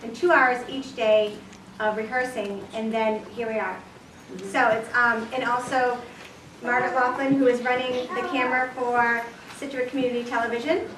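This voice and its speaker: A woman giving an informal, halting welcome speech as the organiser of a children's theatre show. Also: fairly high pitch, level tone, fairly bright tone. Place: community building hall, before an audience. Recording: echoey room, distant microphone, noisy.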